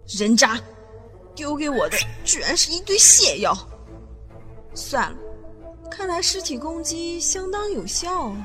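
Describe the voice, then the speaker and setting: A woman playing a brash, sharp-tongued young female assassin, muttering her thoughts in a fan audio drama. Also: high-pitched, big pitch swings, measured pace, long pauses, music in the background. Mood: grumbling. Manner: impulsive, sharp-tongued, inner monologue.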